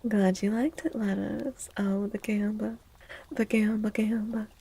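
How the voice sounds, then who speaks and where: A woman streaming soft-spoken ASMR, chatting gently and playfully with viewers, often close to a whisper and repeating little phrases. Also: medium pitch, flat delivery, unhurried. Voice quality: soft, breathy, husky, often near whisper. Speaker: ASMR streamer, tarot reader.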